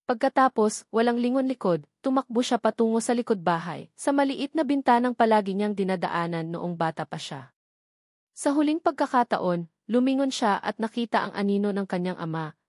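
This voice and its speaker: A woman narrating a scripted drama in a measured, dramatic way. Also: mid-range voice, expressive, darkish tone, no room sound, clean studio sound.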